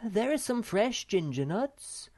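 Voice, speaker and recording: A reader voicing Moomin Mamma, a gentle troll mother who is easily flurried. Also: medium pitch, very animated, slow pace, pitched above the performer's own voice, exaggerated voice. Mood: fussing.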